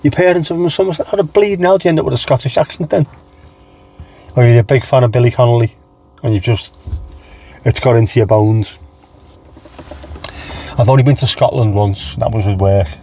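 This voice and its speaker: A man's voice: mid-range voice, normal speed, pauses often, mellow. A man with a mild Scouse accent, chatting casually on a livestream and answering viewers by name in a rambling way. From England. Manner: chatty, rambling, reads out chat names and answers them. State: low on energy. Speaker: livestream host.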